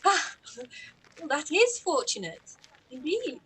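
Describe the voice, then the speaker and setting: A woman playing a quick-witted young gentlewoman in a period radio play, courteous and arch. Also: high voice, big pitch swings, full character voice, rough recording. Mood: drily polite.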